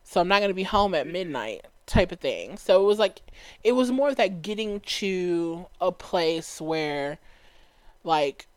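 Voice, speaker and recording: A young woman with a light, valley-girl sounding voice, pitched a little low for her, talks in a loose, rambling podcast chat, full of "like" and quick laughs. The sound is dry and close, with no room around it. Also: dry recording. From Dallas, Texas. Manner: chatty, many "like" fillers, laughs easily. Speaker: podcast co-host. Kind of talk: casual chat.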